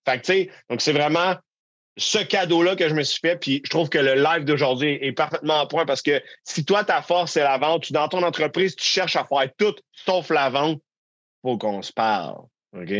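A man's voice: on the high side, normal speed, fairly bright tone, no room sound, highs cut off. A man in his thirties with a Québécois accent, co-hosting a business podcast in a candid, emphatic, slangy way. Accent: Québécois French. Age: 30s. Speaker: podcast co-host. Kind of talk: casual business chat.

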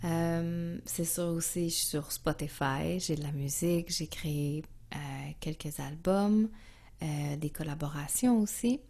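Calm, mellow Quebecois woman, slow-paced. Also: low voice. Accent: Quebec French. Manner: calm, zen, searching for words. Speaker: interview guest, yoga and music teacher. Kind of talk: reflective, casual interview talk.

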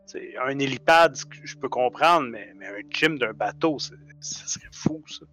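Brief: cocky, joking man voicing his own character, sharp bright tone, trips over his words. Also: mid-range voice, animated, normal speed, faint music behind. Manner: playful, boastful, stammering restarts. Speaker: player. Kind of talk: playing a character in a tabletop game.

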